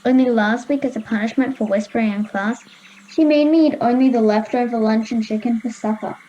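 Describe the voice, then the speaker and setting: A woman's voice: a little high, a little fast, mellow, highs cut off, slight background noise, close to an everyday voice. A girl of ten or eleven, playing a bullied, resigned schoolgirl in a radio play. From Australia. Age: child, 10 to 11. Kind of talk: children's radio play acting.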